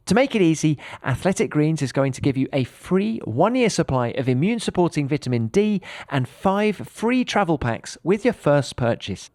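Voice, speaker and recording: A British man with a fairly high, animated voice presents a podcast. His pitch moves around freely, and the sound is close and dry. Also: dry recording. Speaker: podcast host. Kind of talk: podcast chat and sponsor read.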